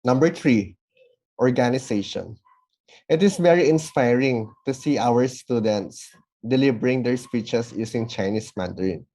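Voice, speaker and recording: A man giving formal, measured feedback as a judge, working through numbered points. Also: mid-range voice, mellow. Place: online event recording.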